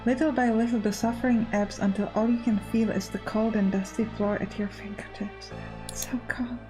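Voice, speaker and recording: A woman's voice: a little high, steady tone, normal speed, warm tone, faint music behind, poor audio. A woman hosting a gaming let's play, chatting and reading game text aloud. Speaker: gaming channel host.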